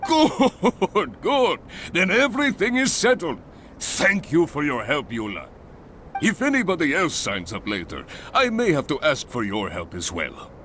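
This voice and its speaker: A polite, earnest game character who runs guild affairs. He explains in a bright, forceful voice with very animated swings of pitch, over faint background noise. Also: a little slow. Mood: relieved. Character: earnest, grateful male adventurers' guild organizer. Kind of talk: game voice acting.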